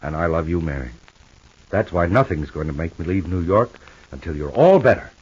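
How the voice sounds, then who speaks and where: A dull old radio recording holds a devoted, stubborn husband in a soap opera. His voice is fairly low and rough, with lively rises and falls. He is affectionate and offhand, yet firm about looking after his wife. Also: fairly quick. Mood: firm, loving. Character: protective, stubbornly loving husband, a famous actor.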